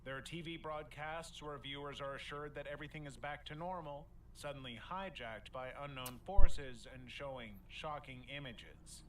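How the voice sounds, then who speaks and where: A man with a slightly high voice delivers scripted horror explainer narration. He sounds a little far from the mic, with clear noise around him. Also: normal speed, few pauses. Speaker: documentary video narrator.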